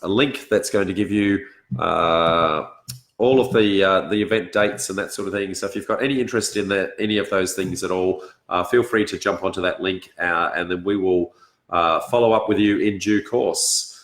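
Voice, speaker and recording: Aussie guy hosting a casual stream, deep n raspy, stuffed up nose, stumbles for words, roomy echo. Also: a bit bright. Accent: Australian. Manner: halting, searching for words. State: congested from illness, blocked nose. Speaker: host of a property education session. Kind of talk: casual livestream chat. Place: indoors on a couch, echoey room. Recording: roomy, echoing sound.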